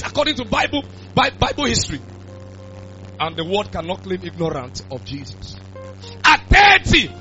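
A man, preaching a sermon with loud bursts of emphasis. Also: high-pitched. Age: 20s. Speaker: pastor. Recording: dull lo-fi sound with music.

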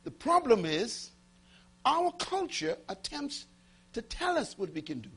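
A man preaching a motivational sermon to a live audience, firing rhetorical questions, repeating key lines and swinging from loud bursts to hushed asides. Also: very high voice, big pitch swings, a little slow, husky. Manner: dramatic swings between loud and hushed, call-and-response questions; emphatic, repeating key phrases.

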